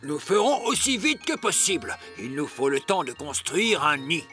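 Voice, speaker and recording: A man's voice: fairly high pitch, big pitch swings, normal speed, pushed and bright, faint music behind. A man voicing a proud, exacting elf craftsman in a French cartoon dub, with an emphatic, officious manner. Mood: brisk.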